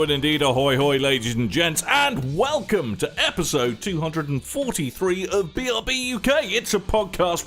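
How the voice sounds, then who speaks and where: A British man hosting a gaming podcast, joking around in loose, rambling chat. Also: on the high side. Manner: jokey, lively, rambling asides. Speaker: podcast host. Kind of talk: casual banter.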